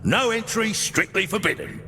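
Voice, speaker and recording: An actor playing a wry, world-weary runaway scout in a video game, resigned and guarded yet tender. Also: male voice, sits high, moderate pace, sharp tone, a little hiss or hum. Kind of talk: naturalistic video game voice acting. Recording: long hall reverb over music.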